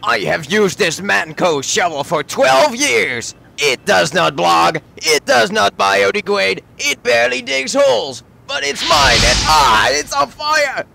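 A blustering cartoon army man with a heavily gravelled, high-pitched bark. His delivery swings up and down like an angry drill sergeant's, with faint noise behind it. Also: sing-song, slow pace, hardly any pauses, full character voice. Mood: outraged. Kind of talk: comic dub dramatic reading.

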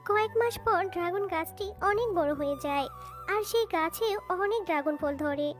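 A woman narrates a children's fairy tale in a scripted storybook style. Her voice is high, and music plays behind it. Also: expressive, moderate pace.

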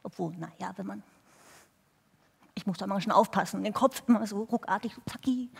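A woman playing an anxious former female hare who wants to be human, halting and repetitive, in a stage comedy. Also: mid-range voice, creaky, a little mellow, slightly rough, heavily acted. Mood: uneasy. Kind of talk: stage comedy talk-show sketch. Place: large brick-walled indoor stage. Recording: reverberant theatre hall.

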